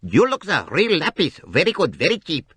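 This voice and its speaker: A man voicing a pushy male street hawker who speaks broken English in a rapid sing-song patter. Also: on the high side, huge pitch swings, pushed and bright, breathy edge, no echo, voice pushed up, exaggerated voice.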